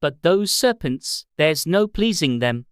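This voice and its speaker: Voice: very animated, slow, clear, resonant tone, dry recording, clean studio sound. A narrator voicing an indignant, fretful mother pigeon who scolds with contempt in a storybook reading. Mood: sobbing complaint. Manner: scolding, shrill exclamations, contemptuous.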